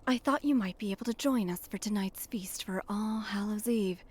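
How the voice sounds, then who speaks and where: A woman's voice: medium pitch, normal speed, darkish tone, slightly rough, lightly acted. A woman playing a gracious, courteous host in a fantasy game, speaking politely and formally. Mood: courteous, composed. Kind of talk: visual novel character acting.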